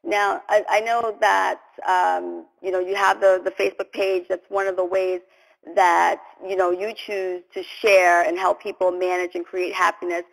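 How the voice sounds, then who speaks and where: A woman hosting a conversational interview, speaking supportively in a halting way with repeated phrases. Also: lowish voice, flat delivery, creaky, in a large hall, poor audio. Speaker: host, interviewer.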